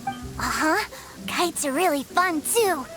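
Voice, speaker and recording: A woman playing an innocent, excitable little boy in a video game, with music behind. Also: sits high, slow, low-quality recording. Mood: innocent wonder. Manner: childlike, eager, naive. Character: excitable, innocent little boy who adores his brother.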